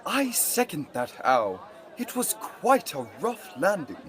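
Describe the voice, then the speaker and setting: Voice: mid-range voice, huge pitch swings, slow, fairly bright tone, full character voice. A student performer voicing a wisecracking, cheeky talking magical book in a radio play, quipping back with questions. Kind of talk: student radio theatre acting.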